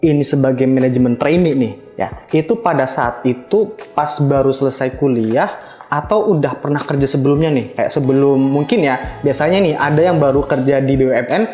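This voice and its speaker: A man's voice: mid-range voice, fairly quick, dark tone. A man hosting a casual interview as he chats with a guest. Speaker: podcast host. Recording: roomy echo, music bed behind.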